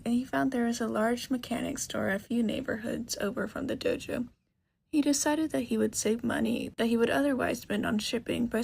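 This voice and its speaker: A woman's voice: on the high side, gravelly, a little mellow, slightly rough. A woman reading a scripted story aloud as its narrator. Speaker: narrator, fan fiction reader.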